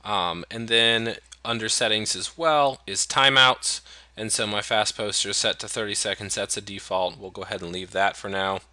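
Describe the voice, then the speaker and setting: A man from the United States walking through software settings in a relaxed, explanatory way, with frequent fillers and restarts. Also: lowish voice, moderate pace, no echo. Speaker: software tutorial presenter. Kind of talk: screen-recorded software walkthrough.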